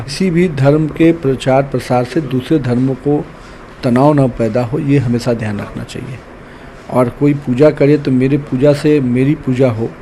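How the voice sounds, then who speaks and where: A man's voice: medium pitch, mellow. A man giving spontaneous remarks as an interviewee. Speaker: interviewee giving a press comment. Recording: faint ambient noise, field interview.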